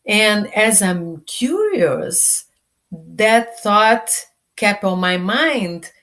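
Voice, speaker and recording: A woman from Brazil with a Brazilian Portuguese accent, a self awareness coach talking casually to camera. She speaks in an unhurried way, searching for words and restarting often. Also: medium pitch, sing-song, fairly bright tone. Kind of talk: casual personal vlog.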